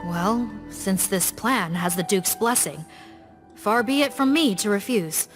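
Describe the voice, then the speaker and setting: An actress playing a dutiful law officer who leads a team, speaking in a firm, businesslike, slightly weary way. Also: female voice, medium pitch, animated, a little slow, lightly acted. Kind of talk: game cutscene voice acting. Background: game music.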